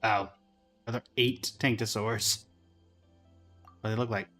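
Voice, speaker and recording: A man's voice: lowish voice, fairly even intonation, a little slow, a bit bright, faint music behind. A man doing casual livestream game commentary, talking in short remarks to himself and to the characters on his screen.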